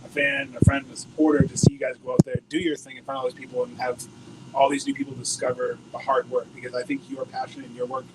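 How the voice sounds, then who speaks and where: An American man interviewing a band at a bowling alley venue, upbeat and joking, with a loud voice. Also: mid-range voice, animated, quick pace, creaky. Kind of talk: casual interview. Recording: noisy location recording.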